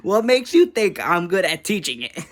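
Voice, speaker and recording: An online creator chatting casually to viewers in a rambling, easily distracted way, energetic, giggly and self-deprecating. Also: medium pitch, moderate pace.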